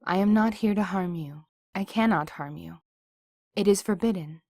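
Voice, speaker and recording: A woman's voice: mid-range voice, normal speed, a little mellow, no echo, lightly acted. An earnest female pony spirit with wings, bound to serve and eager to please, as a woman voices her. She speaks in old-fashioned, formal phrases and keeps pleading to be trusted. The voice sits mid-range for a woman, in a dry, close recording. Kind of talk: fan audio drama voicing.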